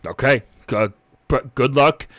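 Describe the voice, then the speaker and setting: A man's voice: mid-range voice, a little slow, fairly bright tone, no room sound, lightly acted. A man playing a rambling, flustered captain. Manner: hesitant, rambling, full of restarts. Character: flustered, hesitant male captain.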